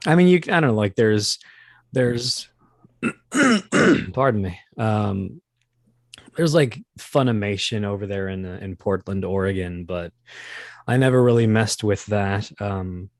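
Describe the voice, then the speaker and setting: A man in a casual interview as a voice actor guest, talking in a halting, wry, joking way. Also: on the low side, animated, no echo, studio quality.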